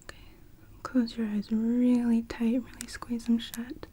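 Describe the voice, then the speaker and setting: A young woman whispers gently as a doctor running an ASMR exam. Her low breathy voice stays mellow and warm, and she guides each step calmly and slowly. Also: slight background noise. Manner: soft whispering, calm step-by-step instructions. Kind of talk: ASMR medical exam roleplay.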